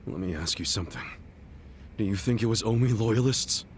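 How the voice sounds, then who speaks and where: An actor playing a gruff but earnest yakuza underling weighed down by grief, speaking bluntly and informally in a video game. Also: male voice, lowish voice, brisk, slight background noise, close to an everyday voice. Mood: troubled, disbelieving. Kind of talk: dramatic video game dialogue.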